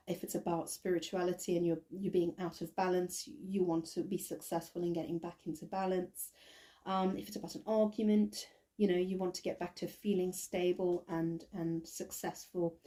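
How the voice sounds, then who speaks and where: A woman with a croaky voice doing a casual tarot reading to camera, thinking aloud with many ums and restarts. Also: lowish voice, dark tone. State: croaky, recovering from bronchitis. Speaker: tarot reader.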